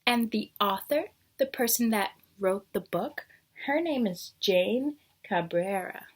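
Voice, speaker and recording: A woman's voice: medium pitch, expressive, slow pace. A woman teacher reading a picture book aloud to young children, explaining things simply and asking them questions.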